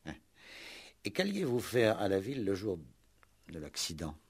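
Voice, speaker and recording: An actor playing a probing, dryly sceptical police commissioner in a 1960s French radio play, questioning in a curt, methodical way with grunts and short prompts. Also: male voice, lowish voice, huge pitch swings, normal speed, breathy edge, full character voice.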